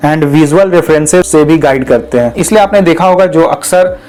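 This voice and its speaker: A man's voice: fairly high pitch, moderate pace, darkish tone, poor audio. A man hosting an educational video, explaining patiently in lists and repeating key points, mixing English terms into his Hindi. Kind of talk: explanatory lesson.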